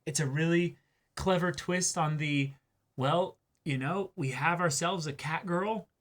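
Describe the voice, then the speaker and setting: A man's voice: a little high, a little slow. A man co-hosting a reaction show, talking casually and wordily as he analyses an anime episode.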